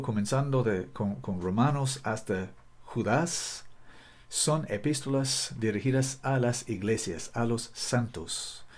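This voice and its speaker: Bible teacher, man, native English speaker, lowish voice, halting with restarts. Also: darkish tone, husky. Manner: careful, halting, frequent restarts. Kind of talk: video Bible study. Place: Costa Rica.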